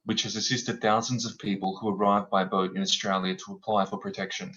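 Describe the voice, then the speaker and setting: A man moderating an event, reading a formal introduction of a speaker in a steady way, on dull lo-fi audio. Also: lowish voice, fairly quick, a little hiss or hum. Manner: reads a bio in a steady, formal way.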